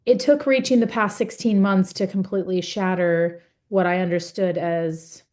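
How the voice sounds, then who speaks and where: A young woman speaking on a webinar panel over a video call, earnest and halting, with many fillers and restarts. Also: fairly low pitch, fairly even intonation, a little mellow. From United States. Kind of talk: webinar testimony.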